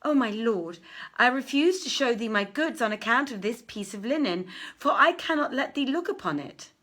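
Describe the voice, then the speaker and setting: A woman's voice: a little high, lively intonation, normal speed, fairly bright tone, voice pushed up. A woman voicing a sorrowful, humble young merchant in a plaintive, declamatory reading of an archaic tale. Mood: pleading, guarded. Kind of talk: dramatic storybook reading of archaic verse and dialogue.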